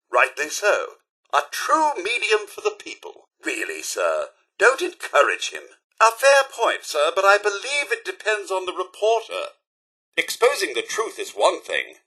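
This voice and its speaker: A man's voice: sits high, bright, forceful tone, husky, heavily acted. A man voicing a prim, fussy, loyal robot butler in a video game, with a British accent and a formal, theatrical, moralizing manner. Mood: measured, earnest. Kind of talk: scripted game dialogue.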